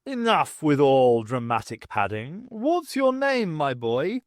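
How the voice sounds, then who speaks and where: A cross, bossy storybook king, played by a man, declaims in pantomime rhyme. The pitch sits high and moves in lively swings. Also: slow, no echo, studio quality. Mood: commanding.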